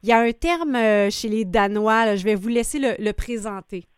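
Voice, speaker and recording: A woman's voice: a little high, moderate pace, clear, resonant tone, no room sound, studio quality. A woman radio host, interviewing a guest with frequent hesitant fillers.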